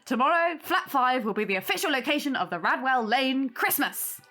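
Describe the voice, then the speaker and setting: Audio drama aunt: higher-pitched, tender with family, gently takes charge as Christmas host. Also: female voice, lively intonation, normal speed, soft background music, full character voice. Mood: warm, cheerful. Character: affectionate aunt hosting Christmas, tender and organising.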